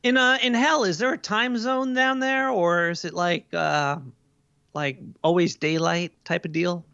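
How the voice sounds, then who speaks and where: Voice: mid-range voice, fairly even intonation, unhurried, bright, forceful tone, clean tone, no room sound, highs cut off. A performer voicing a paranoid cartoon sewer rat in an improvised comedy podcast, talking in a casual, slangy, offhand way. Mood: offhand, joking. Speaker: podcast guest. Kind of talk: improvised in-character comedy chat.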